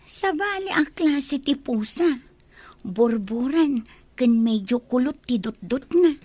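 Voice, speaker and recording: A chatty young housemaid, played by a woman in a radio drama. She talks in a high, curious voice, recorded close and dry. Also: expressive, takes it slowly, dark tone, dry recording, full character voice.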